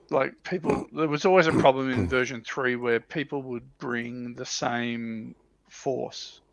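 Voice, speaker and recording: A man giving his opinions in casual, halting chat as a panelist on a hobby livestream, often rephrasing as he goes. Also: mid-range voice, gravelly, fairly bright tone, no room sound, muffled recording. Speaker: livestream panelist on a tabletop wargaming show.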